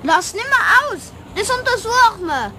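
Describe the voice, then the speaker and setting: A young performer playing an excitable, hesitant schoolboy in a children's radio play, exclaiming in a Bavarian accent. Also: very high-pitched, normal speed, slight background noise, exaggerated voice. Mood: startled, excited. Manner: exclaiming, boyish.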